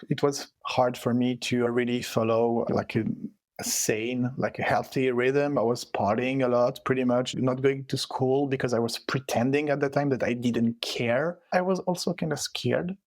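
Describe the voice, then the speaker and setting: A man's voice: medium pitch, no room sound. Man, 30s, French-speaking Swiss accent, first language French and Italian, hesitant and wordy, dry close sound. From Switzerland. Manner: searching for words, many fillers and restarts. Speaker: guest artist. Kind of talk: casual conversational interview.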